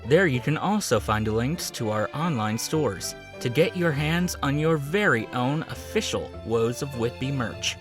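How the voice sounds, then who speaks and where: A male announcer reads a clear, scripted promotional outro with a practiced delivery. Faint music plays under his voice. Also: medium pitch. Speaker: podcast announcer.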